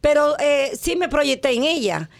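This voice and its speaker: A middle-aged woman, a guest's mother, who speaks haltingly with many fillers. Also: sits high, animated, gravelly. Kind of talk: casual interview.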